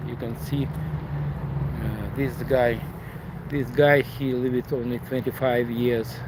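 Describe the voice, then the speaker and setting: A man from eastern Ukraine with a Ukrainian accent, speaking English haltingly with many "uh" fillers while live streaming outdoors at a memorial. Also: medium pitch, steady tone. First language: Russian or Ukrainian. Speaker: tour guide and live streamer. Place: outdoors at a memorial in a city street. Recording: noisy outdoor city street. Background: city traffic and sirens.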